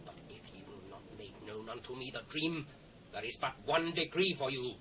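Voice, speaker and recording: A man's voice: medium pitch, lively intonation, normal speed, bright, forceful tone. A British actor playing an imperious, suspicious ancient king, commanding and declamatory in a dramatised scripture reading. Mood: suspicious, stern. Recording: distant, noisy old cassette transfer.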